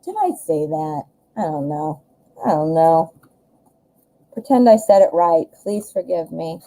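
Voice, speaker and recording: A woman's voice: deep voice, expressive, a little slow, mellow, rough recording. A woman with a Midwestern American accent giving a casual live tarot reading, conversational and self-deprecating, often rephrasing mid-thought. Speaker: tarot reader, live show host.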